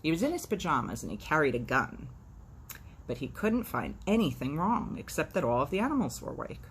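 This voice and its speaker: A woman's voice: deep voice, big pitch swings, brisk. A woman hosting storytime, reading expressively and dropping in playful, sardonic, chatty asides. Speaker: storytime host. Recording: faint background noise.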